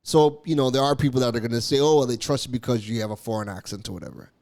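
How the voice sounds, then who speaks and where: A man's voice: mid-range voice, very fast, vocal fry, a little mellow. A man raised in Canada hosting a business podcast, talking emphatically, repeating key phrases and now and then stumbling over his words. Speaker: podcast host. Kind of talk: solo business podcast monologue.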